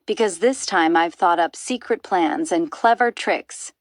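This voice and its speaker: A woman's voice: lowish voice, a little slow, dry recording, studio quality. A woman voicing an enormous greedy, boastful crocodile in a storybook, gloating and savouring every word.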